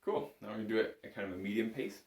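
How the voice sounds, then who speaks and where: A man's voice: on the low side, fairly even intonation, rapid-fire, darkish tone. A man giving a casual spoken music lesson as an instructor, in an easygoing, reassuring manner. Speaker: bagpipe instructor. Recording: echoey room.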